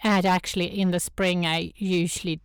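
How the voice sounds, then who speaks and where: A woman with a Swedish accent chatting as an interview guest, searching for words in halting English. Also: on the low side, little pitch movement, clear, resonant tone, dry recording, clean studio sound. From Sweden. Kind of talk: casual interview chat.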